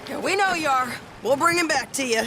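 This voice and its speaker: Brash frontier woman, high-pitched, animated. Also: a little fast, noisy background. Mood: firm, impatient. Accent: American, rural. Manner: blunt, insistent. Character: tough, brash frontier outlaw woman, bossy and blunt. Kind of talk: dramatic game cutscene acting. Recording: loud music under the voice.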